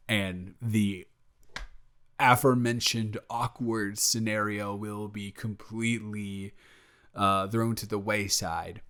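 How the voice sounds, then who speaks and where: A man's voice: on the low side, fairly bright tone. A man improvising an audio roleplay as a flustered, evasive roommate who talks straight to the listener, stammering and joking as he rambles. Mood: playful, teasing. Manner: rambling, stammering, self-interrupting, playful.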